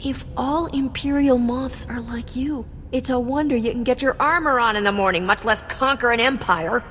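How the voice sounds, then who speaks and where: A woman's voice: on the high side, moderate pace, fairly bright tone, slight background noise, lightly acted. A woman playing a cocky, sarcastic smuggler in a video game, with a wry, sassy delivery. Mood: scornful.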